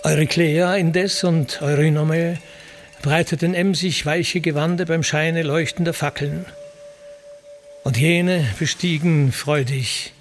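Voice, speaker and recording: A man's voice: on the high side, fairly even intonation, slow pace, soft background music. A man narrating epic verse in a solemn, formal, declamatory storytelling manner.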